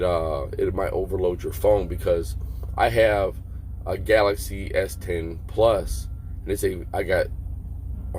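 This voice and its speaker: A man with a very low voice talks through a casual product review. His delivery is plainspoken and rambling, with halting starts as he works out his points. A noticeable vehicle and fan hum runs behind him, as in a truck cab. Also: a little mellow. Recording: clear vehicle and air-conditioning hum.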